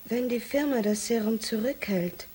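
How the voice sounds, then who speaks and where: A woman's voice: on the high side, normal speed, mellow, a little hiss or hum, lightly acted. A woman playing a blunt, cynical astronaut worker in a radio play, talking in a slangy way. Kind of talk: German science fiction radio play.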